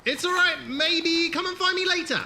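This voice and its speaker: Man playing a bright-voiced, earnest, awkward wanderer. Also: extremely high pitch, moderate pace, slight background noise, heavily acted. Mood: awkward, flustered. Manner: hesitant, earnest. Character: awkward, helpful male wanderer haunted by an old war. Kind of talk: fan audio drama acting.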